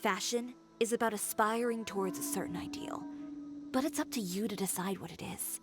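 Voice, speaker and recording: A woman's voice: mid-range voice, brisk, over music, natural voice. A woman playing a proud, defiant young fashion designer in an anime game, cutting and assertive in her delivery. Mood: resolute.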